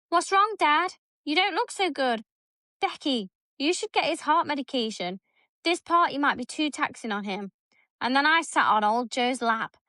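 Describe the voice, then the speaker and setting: A woman's voice: a little high, bright, forceful tone, no room sound. A woman in her thirties co-hosting a podcast, reading a story aloud in casual chat, blunt and swearing freely. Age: 30s. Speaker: podcast co-host.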